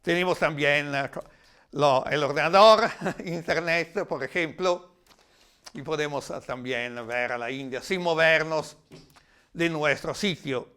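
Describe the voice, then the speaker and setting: A man with an Italian accent giving a reflective, explanatory talk in a language that is not his native one, sometimes halting as he searches for words. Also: fairly high pitch, pushed and bright, clear, resonant tone. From Italy. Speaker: lecturer.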